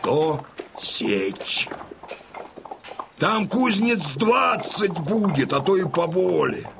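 An actor playing a proud, boisterous old Cossack father in a theatrical radio play, declaiming with a gravelly voice. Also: on the high side, background noise, exaggerated voice. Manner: declamatory, swinging from brooding murmur to hearty bellow. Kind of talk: theatrical audio drama acting.

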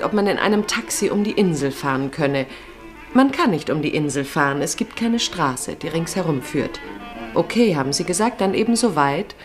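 Female narrator for literary radio drama, deep voice, scripted storytelling, music behind.

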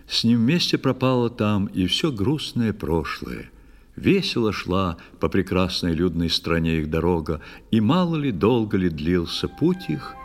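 Low, very expressive male storyteller over music. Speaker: narrator. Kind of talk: expressive scripted verse storytelling. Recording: music behind the voice. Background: clear instrumental music.

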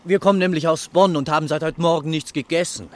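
An actor playing a dreamy, good-natured young army doctor in a German radio play. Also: male voice, fairly high pitch, steady tone, fast talker, close to an everyday voice. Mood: cheerful. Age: young adult.

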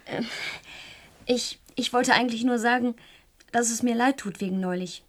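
An actress playing a practical, mildly sceptical grown daughter in a German radio play, speaking naturally, polite but brisk. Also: female voice, a little high, close to an everyday voice. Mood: apologetic, puzzled.

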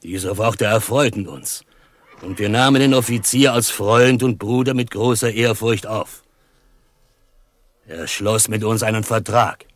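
A man's voice: fairly low pitch, a little slow, dry recording, natural voice. A male actor playing a dignified chief in a German radio drama, speaking in a solemn, ceremonious, declamatory storyteller's manner. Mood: solemn, recounting. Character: Matoshako, dignified Osage chief telling of past deceit.

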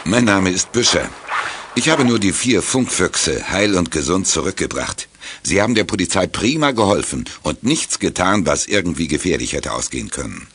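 A low, strongly inflected voice of authority: a middle-aged chief inspector in a children's audio drama. He speaks in a measured, orderly, official manner, with faint noise on the recording. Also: very animated, a bit bright. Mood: friendly official.

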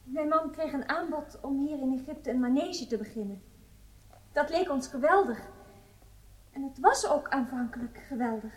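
An actress in a radio drama playing a nervous, evasive wife on trial, speaking hesitantly and deferentially. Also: female voice, high-pitched, moderate pace, close to an everyday voice, rough recording. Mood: polite, composed.

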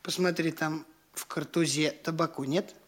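An actor playing a vain young gentleman, bossy yet feckless, haughty and whiny in a classic audio stage comedy. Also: fairly high pitch, steady tone, normal speed. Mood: peevish.

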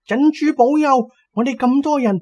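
A man voicing an excitable, superstitious old desert guide, crying out in drawn-out exclamations in an audiobook. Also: very high-pitched, highs cut off, voice pushed up. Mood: alarmed, urgent shouting. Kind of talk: audiobook character acting.

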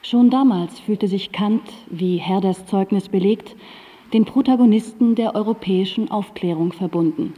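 Mellow woman narrator with long reverb. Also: mid-range voice, normal speed. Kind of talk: scripted documentary narration in radio drama. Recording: reverberant hall sound.

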